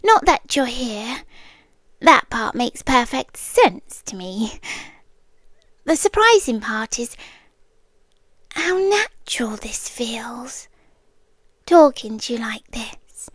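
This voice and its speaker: A British woman voicing an obsessive romantic kidnapper for ASMR roleplay, speaking softly and often whispering into a close microphone. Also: high voice, dry recording, full character voice. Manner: soft-spoken, often slipping into whispers.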